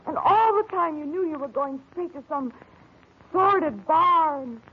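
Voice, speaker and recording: A woman's voice: sits high, lively intonation, unhurried. A woman acting an earnest, plaintive female character in an old-time radio detective drama. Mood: fussing, reproachful. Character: fussy, solicitous young female secretary or distraught woman neighbour. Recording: tinny, noisy old-time radio recording.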